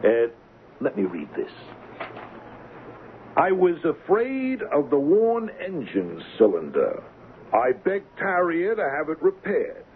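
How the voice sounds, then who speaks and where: Noisy thin old radio recording, a bitter boozy ship engineer, mocking, swooping delivery, taunting blackmailer. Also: male voice, on the high side, phone-quality sound. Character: bitter, sardonic drunken ship's engineer turned blackmailer. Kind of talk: old-time radio drama acting.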